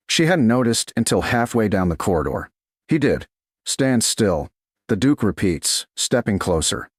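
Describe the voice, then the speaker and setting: A man narrating a scripted romance story in a slow, dramatic storytelling style. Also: fairly low pitch, no echo, clean studio sound. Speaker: audiobook narrator.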